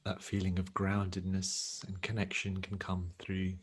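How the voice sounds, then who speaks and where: A man leading a guided meditation as a teacher, speaking gently and without hurry, leaving long pauses. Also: low voice, monotone, breathy edge.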